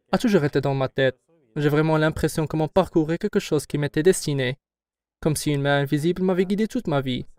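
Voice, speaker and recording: A man in his forties answers interview questions as a company founder, in a measured, formal, self-assured way that turns slightly defensive. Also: on the high side, a little mellow, clear, resonant tone, no echo. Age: 40s.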